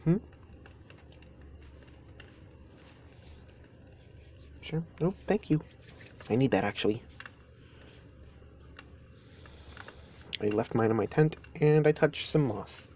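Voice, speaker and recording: A man playing a caring, easygoing best friend in an intimate roleplay audio, speaking softly and haltingly to the listener. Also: mid-range voice, close to an everyday voice. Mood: warm, sleepy. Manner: halting, unhurried, gentle. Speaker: amateur audio roleplay performer. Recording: steady ambient noise behind voice. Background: campfire ambience.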